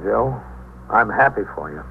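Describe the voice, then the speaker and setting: Low-voiced, steady police captain. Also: male voice, a little slow, gravelly. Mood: steady concern. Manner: calm, terse, matter-of-fact. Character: steady, kindly middle-aged police precinct captain. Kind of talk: 1950s radio drama acting and narration. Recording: narrow, noisy 1950s radio recording, hum.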